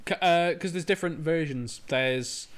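A man, co-hosting a casual chat and explaining things at length in a relaxed way. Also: fairly high pitch, normal speed. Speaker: podcast co-host.

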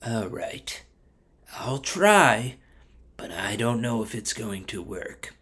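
A man playing a reluctant, greedy, easily flustered curse-maker in a told story, with an excitable, hesitant manner. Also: medium pitch, takes it slowly. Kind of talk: storybook character voice.

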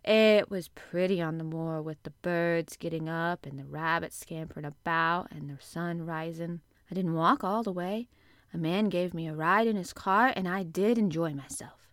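Storybook role: young Yorkshire housemaid, played by a woman narrator. Cheerful, excitable, chatty dialect, dry recording. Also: lowish voice, moderate pace, no echo, lightly acted. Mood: happy, chatty. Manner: exclamatory, chatty, dialect-speaking.

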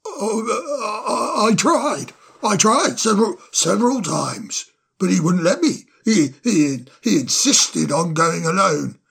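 A man's voice: high voice, expressive, a little slow, gravelly, fairly bright tone, husky, heavily acted. A man voicing a timid, flustered male owl who stammers and apologizes in a storybook reading. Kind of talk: storybook audiobook character voice.

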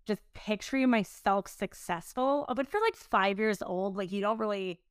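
Woman, late twenties, clean smooth voice, dry close sound, casual rambling podcast chat. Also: mid-range voice, moderate pace, no room sound. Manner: informal, rambling, peppered with "like". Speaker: podcast host and business owner.

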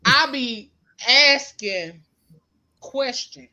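A woman co-hosting a casual chat show, loud and playful, often laughing. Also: high voice, drawn-out words. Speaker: podcast co-host.

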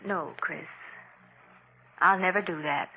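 An actress playing a devoted, trusting wife in old-time radio melodrama, with emotional, exclaiming delivery. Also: female voice, on the low side, slow pace, slightly rough. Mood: hurt, firm. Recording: tinny, noisy vintage radio recording.

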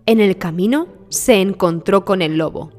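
Female storyteller, children's tale narration, scripted, medium pitch, long reverb, background music. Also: moderate pace, darkish tone.

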